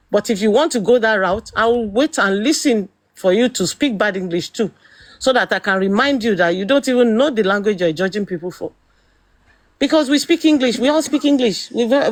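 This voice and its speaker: A woman's voice: a little high, no room sound. Emphatic Nigerian woman with dry sound. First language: Yoruba. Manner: emphatic, rhetorical questions, rising to exclamations. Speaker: host. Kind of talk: opinionated commentary.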